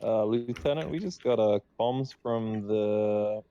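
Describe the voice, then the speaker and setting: A man's voice: fairly low pitch, slow, a little hiss or hum. A man playing a tabletop game, giving casual radio replies in character. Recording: dull, band-limited voice chat.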